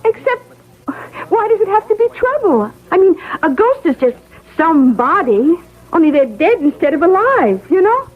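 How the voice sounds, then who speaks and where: An actress playing a naive, sweet, slightly dim young wife in a radio mystery, speaking in a hesitant, guileless ramble. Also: female voice, very high-pitched, sing-song, normal speed. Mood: curious, innocent. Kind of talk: radio mystery drama acting. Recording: tinny, band-limited old radio recording.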